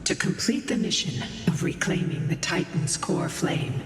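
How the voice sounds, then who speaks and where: A woman voicing a composed, faithful ancient spirit guardian in a video game, speaking formally and with measured words. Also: low voice, very animated. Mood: solemn. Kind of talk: scripted video game voice acting. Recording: very echoey distant hall with music.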